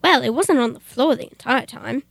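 A woman's voice: sits high, normal speed, gravelly. A young woman playing a polite, oblivious, flustered servant in a comic radio play, earnest and deferential. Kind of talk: comic old-time radio theater acting.

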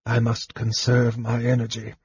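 A man voicing a frail young male mage in a fantasy audiobook, quiet, terse, cool and secretive. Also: medium pitch, monotone, normal speed, slightly rough, no room sound, highs cut off. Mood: guarded, weary. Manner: quiet, terse, faint delivery.

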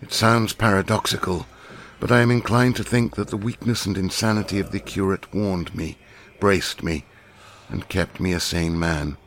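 A man giving a measured, grave reading of a classic novel as an audiobook narrator. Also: deep voice, fairly even intonation, fairly bright tone, slight background noise. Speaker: audiobook reader.

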